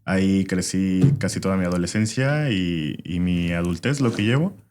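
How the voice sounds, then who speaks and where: Young venezuelan-born guy, really low voice, lots of "este", faint hiss. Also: normal speed. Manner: relaxed, frequent "este" fillers. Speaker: podcast guest, former filmmaker. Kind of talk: casual interview chat.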